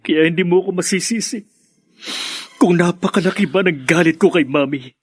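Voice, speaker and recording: An actor playing a bitter, resentful elder brother in a Filipino radio drama. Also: male voice, high-pitched, brisk, slightly rough, no echo, clean studio sound. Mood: bitter resentment.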